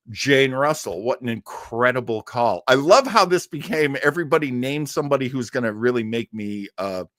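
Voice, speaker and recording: A man's voice: mid-range voice, fairly bright tone, no room sound, clean studio sound. A middle-aged man hosting a web show in a casual livestream chat, rambling and effusive, repeating words for emphasis. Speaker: host of a web show on old time radio. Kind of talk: casual livestream chat, answering audience comments.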